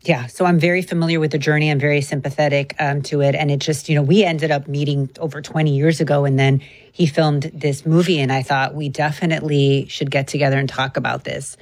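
A woman's voice: low voice, steady tone, fairly quick, a little mellow, no room sound. A woman co-hosting an interview in a casual, conversational style.

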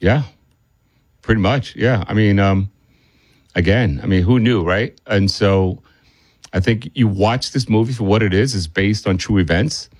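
A man's voice: lowish voice, a little slow, no room sound, clean studio sound. A man speaking conversationally as a film director being interviewed, often circling back and repeating himself.

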